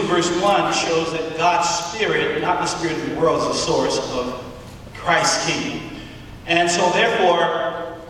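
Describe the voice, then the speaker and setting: A man delivering a sermon, speaking emphatically and deliberately with rhetorical questions and pauses in a large auditorium. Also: a little high, bright, forceful tone. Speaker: preacher giving a public address. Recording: echoey hall from far off, faint noise.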